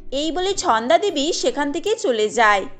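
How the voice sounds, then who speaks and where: A woman's voice: high-pitched, big pitch swings, normal speed, faint music behind. A woman narrating a cartoon family tale in a scripted storybook style.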